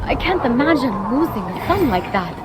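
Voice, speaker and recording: Lively, high-voiced young hero. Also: female voice, moderate pace, heavy background noise. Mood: subdued, reflective. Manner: expressive, wry, hesitant asides to herself. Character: earnest, plucky, wide-eyed young female fantasy hero. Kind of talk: video game fantasy acting. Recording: loud music behind voice.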